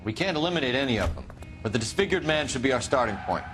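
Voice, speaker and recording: Man playing an earnest, lively-pitched police detective. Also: quick pace, music in the background, natural voice, low-quality recording. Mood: businesslike. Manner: clipped, formal, procedural. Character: earnest, official young male police detective. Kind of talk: 1940s crime drama acting.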